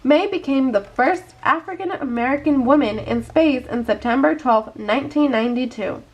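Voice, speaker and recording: A woman narrates a children's book in a read-aloud style. Her voice sits a little on the high side as she takes the role of storybook reader. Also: moderate pace, highs cut off.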